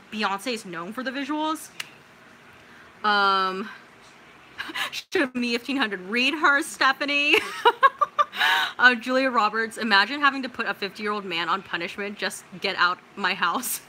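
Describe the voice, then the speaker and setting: Bright-voiced young American woman livestreamer chatting. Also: medium pitch, a little hiss or hum, low-quality recording. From California, United States. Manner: informal, expressive, slangy and swearing. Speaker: livestream host. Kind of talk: casual livestream chat, reading out viewer comments and reacting.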